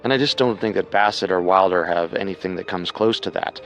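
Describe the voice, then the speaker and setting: A man co-hosting a scripted true-crime show in a measured, serious, analytical manner. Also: deep voice, a bit bright.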